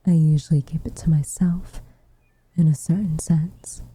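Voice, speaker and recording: Woman playing a low, whispering, reserved witch. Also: fairly even intonation. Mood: tender, shy. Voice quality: soft, breathy whisper. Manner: measured, formal, hesitant phrasing. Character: reserved tsundere witch, guarded but tenderly affectionate. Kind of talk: whispered ASMR roleplay.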